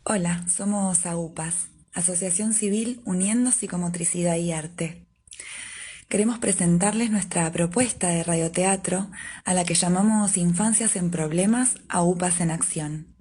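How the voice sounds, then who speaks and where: Husky woman reading a statement. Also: on the low side, mellow. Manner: measured, formal phrasing. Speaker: guest from a civic association. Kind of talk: read prepared statement.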